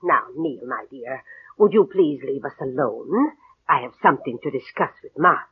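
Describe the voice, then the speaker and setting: An actress playing an imperious, spiteful older rich aunt with cutting, theatrical delivery in a mid-century radio play. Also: female voice, extremely low pitch, lively intonation, normal speed, breathy edge, phone-quality sound, exaggerated voice. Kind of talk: 1940s-50s radio drama acting. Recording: band-limited old radio broadcast.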